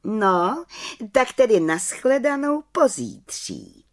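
A woman's voice: on the high side, wildly swooping pitch, voice pushed up. Woman as a spiteful, officious witch aunt. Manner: pointed, accusing, officious.

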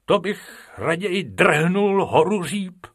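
A man doing a high whiny little rabbit boy. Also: lively intonation, a bit bright, husky, exaggerated voice. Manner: high, whining, quick. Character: small excitable male rabbit, whiny.

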